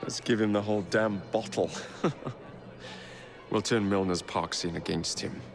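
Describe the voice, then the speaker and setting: An English actor playing a roguish young Victorian gang leader in a video game, casual and swaggering. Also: male voice, lowish voice, steady tone, unhurried, creaky, faint music behind. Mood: cocky.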